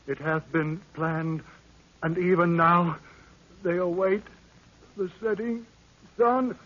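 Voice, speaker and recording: Slow, faint, dying messenger. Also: male voice, high voice, steady tone, a bit bright, full character voice. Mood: desperate, failing. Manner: halting, archaic, solemn. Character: dying, loyal wounded male messenger. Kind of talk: 1930s radio adventure acting. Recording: muffled, hissy 1930s radio recording.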